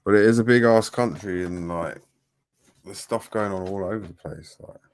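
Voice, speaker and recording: A man's voice: low voice, normal speed. A man chatting casually as a guest, blunt and offhand, swearing freely. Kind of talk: casual chat.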